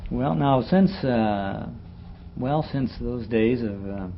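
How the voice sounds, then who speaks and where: A man's voice: mid-range voice, slow, creaky. Male interviewer on dull, noisy tape. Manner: hesitant, admiring of guest. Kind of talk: casual radio interview.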